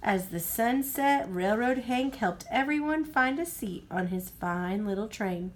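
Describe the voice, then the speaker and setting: A woman reading a picture book aloud to children as an expressive storyteller with sing-song phrasing. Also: a little high, unhurried, slight background noise.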